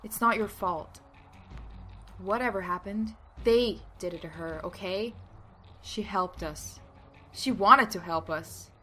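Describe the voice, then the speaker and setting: A woman's voice: medium pitch, takes it slowly, bright, forceful tone, a little hiss or hum. A woman playing a blunt, protective, tough survivor in a dramatic audio drama. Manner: blunt, sardonic.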